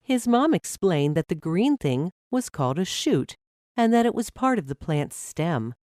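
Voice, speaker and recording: A woman's voice: lowish voice, sing-song, measured pace, darkish tone, no room sound, studio quality. A woman narrating a scripted story for children.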